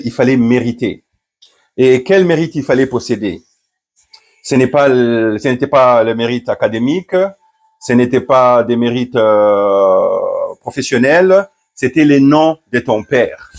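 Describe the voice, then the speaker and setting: Slow, hesitant Congolese man telling a story. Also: medium pitch, a little mellow. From Democratic Republic of the Congo. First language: Swahili. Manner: hesitant, many fillers and restarts. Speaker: pastor. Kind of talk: storytelling commentary.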